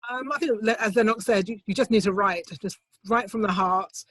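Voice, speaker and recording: A middle-aged woman poet answering interview questions thoughtfully, in a measured, unhurried way on a video call. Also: medium pitch, husky, no room sound. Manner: thoughtful, measured, with soft hesitations. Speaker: poet, competition winner. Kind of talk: online interview, reflective answers. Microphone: computer mic on a video call, set quiet.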